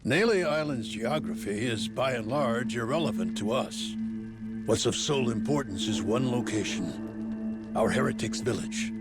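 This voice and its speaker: A male actor voices a guarded, pedantic treasure expert in a video game. His mid-pitched voice has a creaky grain and very formal phrasing. Music runs loudly behind him. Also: measured pace, natural voice. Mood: formal, firm. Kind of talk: English dub video game voice acting.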